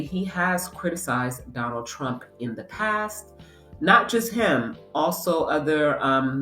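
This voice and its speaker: A woman's voice: low voice, a bit bright, roomy sound. A woman with a cold and a congested voice, hosting a commentary vlog with casual, um-filled talk. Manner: chatty, with frequent ums. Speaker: vlog host.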